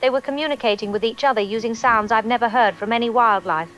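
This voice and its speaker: A woman's voice: on the high side, fast, a bit bright, slight background noise, lightly acted. An actress playing a shaken townswoman giving an earnest eyewitness account on a radio call-in. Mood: unsettled. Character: shaken female townsperson phoning in about an attack.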